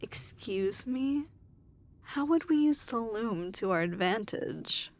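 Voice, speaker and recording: A woman playing a weary, imperious demon lord in an ASMR fantasy roleplay, speaking formally and softly with measured diction. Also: on the high side, lively intonation. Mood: skeptical.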